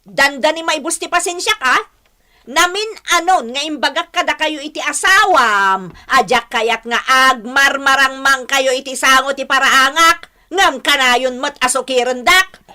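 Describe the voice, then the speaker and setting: A woman's voice: high voice, measured pace, pushed and bright, heavily acted. A woman acting in an Ilocano radio drama as a haughty, demanding homeowner with a Filipino accent, imperious and scolding. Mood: scornful, angry. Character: haughty, demanding woman homeowner who scorns poor neighbors.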